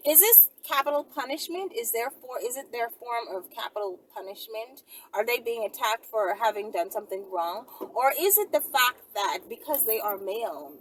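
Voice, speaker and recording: A woman's voice: a little high, a bit bright, poor audio. A woman hosting a casual question-and-answer session, speaking in a blunt, sassy way. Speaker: host answering viewer questions. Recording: faint background noise and room ambience.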